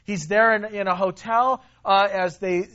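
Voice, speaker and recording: A man preaching a sermon to a congregation, emphatic and repetitive, swinging between loud stresses and quiet asides. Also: high-pitched, fairly even intonation, moderate pace, lo-fi sound. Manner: emphatic, repeats himself, rises and drops in volume. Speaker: pastor.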